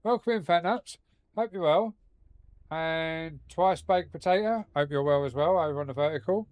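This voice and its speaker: A man's voice: on the high side, normal speed, a little mellow, clean tone, no echo. A man streaming a game from his home setup, talking casually with a blocked nose and a rambling, sweary manner. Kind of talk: casual live-stream chat while gaming.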